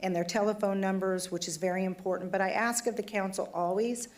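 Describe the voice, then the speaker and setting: A woman police chief giving a formal presentation to a city council, with a voice that is going hoarse as she speaks in a plain, conversational way. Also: mid-range voice, fairly even intonation, a little fast, darkish tone. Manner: conversational and plainspoken, some fillers. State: losing her voice, throat trouble.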